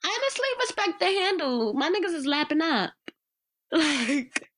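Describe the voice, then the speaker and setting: A woman's voice: sits high, big pitch swings, normal speed, fairly bright tone, slight background noise. A young woman with a stuffy nose, chatting and laughing on a podcast in slangy talk. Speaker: podcast co-host. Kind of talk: casual chat.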